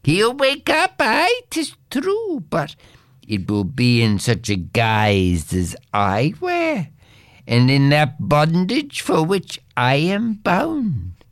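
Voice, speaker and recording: Man as old goblin, once mortal, mournful and whispery, slow and sing-song. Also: on the high side, wildly swooping pitch, no echo, clean studio sound, exaggerated voice. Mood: wailing. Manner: archaic, solemn, breathy whispering at times.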